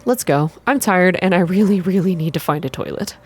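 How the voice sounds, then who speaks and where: An impatient young woman on a trip, played by a female storyteller. She sounds tired and pointed, openly exasperated with her companion. Soft music sits behind this storybook voice. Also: fairly low pitch, animated, fairly quick, lightly acted.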